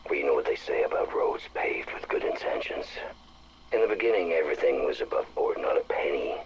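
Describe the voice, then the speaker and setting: An anguished small-town banker with a rock-bottom voice that swings expressively. He confesses haltingly and fearfully, a principled man in trouble, in a game audio log. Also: very deep voice, animated, muffled recording, a little hiss or hum. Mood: regretful, bitter.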